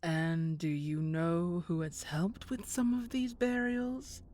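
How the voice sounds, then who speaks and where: A woman's voice: on the low side, slow, a little mellow, a little hiss or hum. An actress playing a sly villainous woman in an audio drama, condescending with feigned sweetness. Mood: insinuating. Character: sly, scheming villainous old flame, woman. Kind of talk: scripted audio drama acting.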